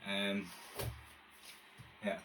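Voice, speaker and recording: A man's voice: on the low side, monotone, drawn-out words, bright, forceful tone, slight background noise. A man chatting casually through a rambling hobby vlog, self-deprecating, with muttered asides. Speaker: radio hobbyist presenter. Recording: distant mic, radio playing behind.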